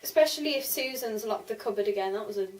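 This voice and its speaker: A woman's voice: a little high, fast, crowd noise. A young woman in a fan audio drama cast chatting, playful and self-mocking. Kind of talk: casual group chat. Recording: distant mic.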